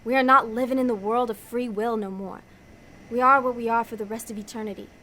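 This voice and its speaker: A woman's voice: fairly high pitch, fairly even intonation, a little fast, a bit bright, lightly acted. A woman playing a cynical, hard-nosed demon schemer in an audio drama, blunt and insistent in everyday ungrammatical speech.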